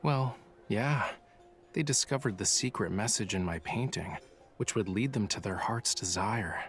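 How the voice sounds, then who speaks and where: A young artist from a romance game, teasing and secretive. His lowish voice stays nonchalant and coy, with soft music playing faintly behind it. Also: unhurried. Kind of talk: English-dubbed romance game voice acting.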